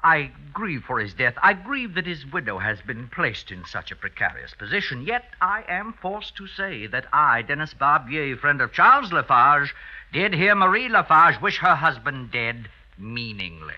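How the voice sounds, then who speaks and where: A man's voice: on the high side, very animated, moderate pace, lo-fi sound. An actor in an old-time radio drama playing a scheming, falsely mournful male accuser, melodramatic and insinuating in manner. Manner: melodramatic, insinuating, feigned reluctance.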